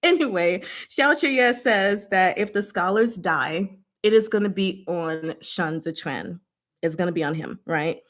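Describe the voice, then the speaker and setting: A woman's voice: on the low side, measured pace, a bit bright. A woman casually recapping a novel in a book discussion, with a chatty, playful, giggly manner and paraphrased dialogue acted out in slang.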